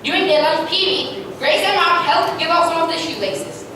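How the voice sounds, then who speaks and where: A woman with a high voice reads scripted narration for a reader's theatre piece. She is recorded from a distance in a very echoey room, with clear noise behind her. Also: moderate pace, sharp tone.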